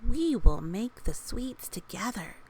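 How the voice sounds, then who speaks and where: A woman voicing a calm, kindly storybook friend who speaks softly and reassuringly. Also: medium pitch, slow, voice pushed up, poor audio. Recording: faint background noise.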